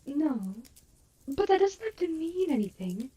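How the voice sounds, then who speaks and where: A woman playing a gentle, reasonable soul in an audio roleplay, speaking in a mild, reassuring way with a soft, husky voice. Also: high voice, very animated, a little slow.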